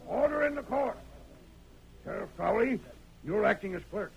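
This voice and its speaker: An actor playing a crooked, blustering frontier judge in an old-time radio western, gruff and commanding. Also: male voice, high-pitched, animated, normal speed, dull audio, a little hiss or hum, exaggerated voice. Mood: gruff, impatient. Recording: old radio recording, music behind.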